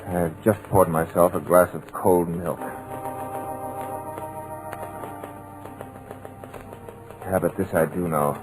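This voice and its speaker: An actor playing a wry, trapped screenwriter in a 1950s radio drama, with a husky, hard-boiled, wisecracking delivery in an old radio recording. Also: male voice, deep voice, steady tone, moderate pace, music in the background, natural voice, low-quality recording. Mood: brooding. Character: wry, trapped male screenwriter, tough-talking.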